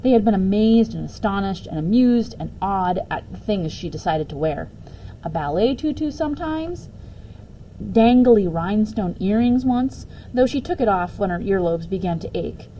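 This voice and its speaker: A woman reading a children's book aloud as a teacher would, in an expressive storytelling style. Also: mid-range voice, moderate pace, slight background noise, low-quality recording.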